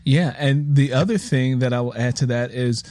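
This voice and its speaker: Man, interview host, mid-range pitch, conversational, reflective, explanatory, dry sound. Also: normal speed, mellow, no echo.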